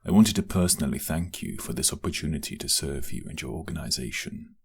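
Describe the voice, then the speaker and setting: A man's voice: rumbling low, animated, quick pace, vocal fry, husky, heavily acted. A man playing a loyal guard in a crime syndicate for an audio drama, speaking earnestly and with formal deference. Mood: respectful, earnest. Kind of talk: audio drama roleplay acting.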